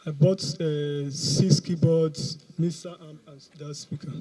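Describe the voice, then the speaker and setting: A man's voice: on the high side, fairly even intonation, unhurried, mellow, poor audio. A man in his thirties from Nigeria, with a Nigerian accent, answering questions publicly as a suspect on a handheld microphone outdoors. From Anambra, Nigeria. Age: 30s. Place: outdoors in a police compound.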